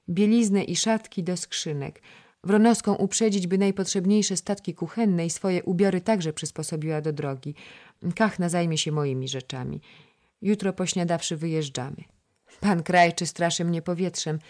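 A woman voicing a middle-aged royal princess who gives calm orders and speaks kindly. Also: lowish voice, pitched above the performer's own voice, lightly acted. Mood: commanding. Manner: calm, commanding yet kind.

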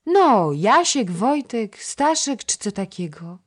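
A woman voicing a chatty, playful little girl who coaxes a boy with a high, lively childlike voice. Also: takes it slowly, exaggerated voice.

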